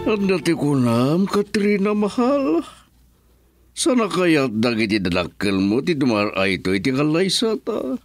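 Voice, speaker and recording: An actor playing a wealthy older suitor, smitten and theatrical, in an Ilocano Filipino radio drama. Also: male voice, fairly high pitch, very animated, unhurried. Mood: wooing.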